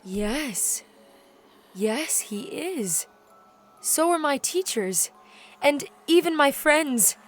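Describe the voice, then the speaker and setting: A woman playing a hurt, despairing teenage girl in an audio drama, halting and plaintive. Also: high-pitched, animated, soft background music, full character voice.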